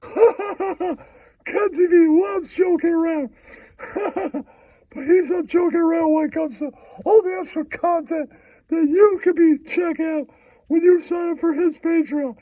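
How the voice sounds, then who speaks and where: A man voicing a giggly, foul-mouthed cartoon mouse puppet in squeaky falsetto, teasing and pitching in a comic puppet show. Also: fairly even intonation, a little slow, dark tone, no echo. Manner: giggly, repeats taunting catchphrases.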